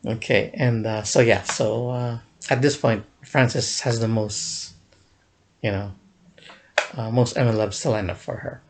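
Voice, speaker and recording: A man's voice: medium pitch, steady tone, a little slow, slight background noise. A young man casually recounting a fan event in a vlog, rambling with many fillers and restarts, often chuckling. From United States.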